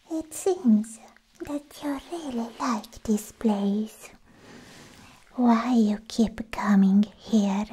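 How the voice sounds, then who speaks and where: Woman acting a slow, breathy, clingy alien girlfriend. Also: a little high, dark tone, exaggerated voice, low-quality recording. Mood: coaxing. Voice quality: breathy, mostly whispered. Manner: slow, coaxing, halting phrases. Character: clingy, obsessed female alien girlfriend, sweetly possessive. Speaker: ASMR roleplay performer. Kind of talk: soft-spoken ASMR roleplay. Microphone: close ASMR microphone.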